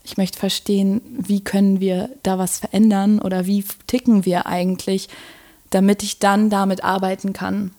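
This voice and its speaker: A woman's voice: mid-range voice, flat delivery, normal speed, warm tone. A woman in her twenties from Berlin with a Berlin accent, a podcast guest talking eagerly with lots of "ähm" fillers and easy laughter. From Germany. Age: 20s. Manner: enthusiastic, laughs often, many fillers. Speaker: podcast guest, design student. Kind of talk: casual chat.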